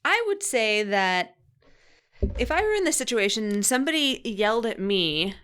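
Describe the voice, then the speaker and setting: Highly animated woman in casual chat. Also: normal speed, clear, resonant tone. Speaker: guest host. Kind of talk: casual book club discussion.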